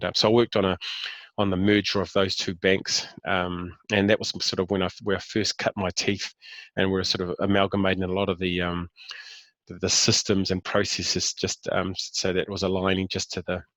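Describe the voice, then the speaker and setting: A man's voice: low-pitched, a bit bright, no room sound, rough recording. A man in his fifties with a New Zealand accent, talking about himself in a casual interview, hesitant with many fillers and restarts. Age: 50s. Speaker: interview guest.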